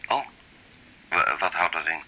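A very low voice of a troubled middle-aged father, halting and subdued. He speaks over a noisy telephone line in a radio serial. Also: animated, pushed and bright, full character voice. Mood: hesitant, uneasy. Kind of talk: naturalistic radio drama acting.

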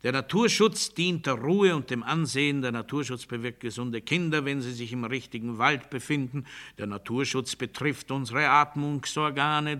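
Radio play lead: menacing, grandiose male prisoner, piercingly bright and fairly high voice, precise officious delivery. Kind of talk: literary radio play monologue.

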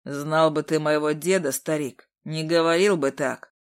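A woman's voice: low-pitched, fairly even intonation, unhurried, smooth, voice pushed down. A woman voicing a mocking, icy male demon in an audiobook.

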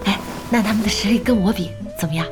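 A woman's voice: mid-range voice, fast talker, lightly acted. An actress playing a spirited young woman boss, playful, blunt and teasing, in a radio drama. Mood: teasing, scornful. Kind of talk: Chinese radio drama dialogue. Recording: music behind the voice.

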